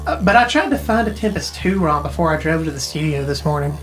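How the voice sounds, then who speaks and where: A woman's voice: low-pitched, expressive, fast talker, faint music behind. An actress playing a tech-savvy woman who explains things informally and hedges as she goes, in naturalistic audio drama dialogue. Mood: explanatory, intrigued. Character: tech-savvy, casual woman who knows retro arcade games. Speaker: character in a mystery audio drama.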